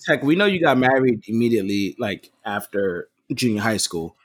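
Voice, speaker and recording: A man's voice: on the low side, very animated, normal speed. A man co-hosting a podcast in casual banter, brash, teasing and freely profane. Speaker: podcast co-host.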